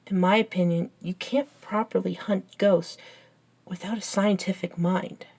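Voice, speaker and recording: A young woman, acted out by a woman in an ASMR roleplay, the devoted best friend with a scientist's curiosity. She takes her time, speaking at a slow pace in a medium-pitched voice. Her manner is earnest and halting, with a gentle teasing streak. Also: fairly even intonation. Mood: playfully defensive. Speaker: amateur audio roleplay voice actor.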